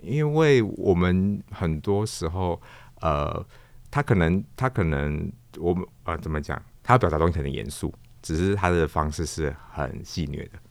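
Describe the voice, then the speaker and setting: A man's voice: deep voice, lively intonation, normal speed, creaky, no echo. A middle-aged man with a Taiwanese accent talking reflectively as an interview guest, searching for his words and repeating phrases. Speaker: guest, stage actor and storyteller.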